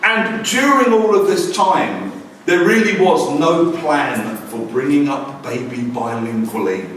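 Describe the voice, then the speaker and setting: A man's voice: a little high, lively intonation, takes it slowly, fairly bright tone, a little hiss or hum. Wry man in a very echoey room. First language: English. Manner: wry, self-deprecating, acts out dialogue. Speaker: language teacher and author. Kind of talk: personal storytelling talk. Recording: very echoey room, distant mic.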